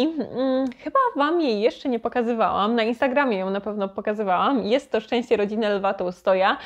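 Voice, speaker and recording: A woman's voice: mid-range voice, muffled recording. A woman chatting casually to her viewers as a book vlogger, informal and thinking aloud.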